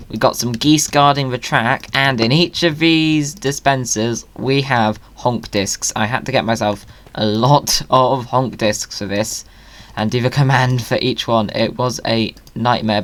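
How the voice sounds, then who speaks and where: A gaming creator talks in a medium-pitched voice. The delivery is playful and excitable, running chattily through commentary as if joking with viewers. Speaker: video creator hosting a gaming video.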